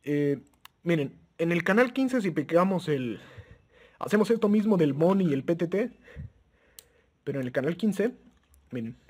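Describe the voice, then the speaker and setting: A man's voice: fairly high pitch, lively intonation, normal speed, pauses often, a little mellow, slightly rough. A man casually demonstrating a handheld radio for viewers, hesitant, with frequent restarts and fillers, chuckling now and then as he talks to them as friends. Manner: chuckles often while talking. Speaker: radio gear reviewer. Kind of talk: casual product demo.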